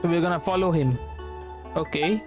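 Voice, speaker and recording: A man's voice: on the high side, moderate pace, over music. A man giving casual, sleepy, rambling live commentary as he streams a game. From India.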